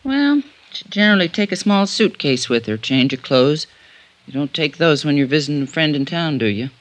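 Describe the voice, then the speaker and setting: A man's voice: fairly high pitch, animated, normal speed, dry recording, natural voice. A man playing a loyal, troubled old-time ranch servant with a folksy, deferential American manner, in 1950s radio drama style. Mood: guarded, deferential. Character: loyal, troubled ranch servant, plain-spoken, long in service.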